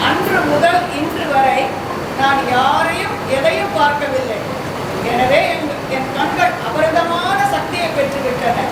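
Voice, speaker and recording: A woman acting as a stern, blindfolded royal mother, speaking solemnly to her son in a stage play. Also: sits high. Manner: solemn, commanding, declamatory. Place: large auditorium stage. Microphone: distant room mic. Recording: echoey hall from far off, loud noise.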